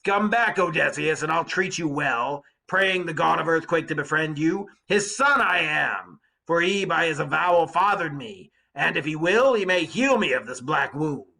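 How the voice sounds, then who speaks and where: A man performs a wounded Cyclops in an epic read aloud. The giant is bitter and vengeful, declaiming slowly with a husky, rasping edge. His words are forceful and drawn out. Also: on the high side, expressive, exaggerated voice, low-quality recording. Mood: bitter, pleading. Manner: slow, drawn-out, declaiming delivery. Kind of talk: dramatic storybook reading of epic verse.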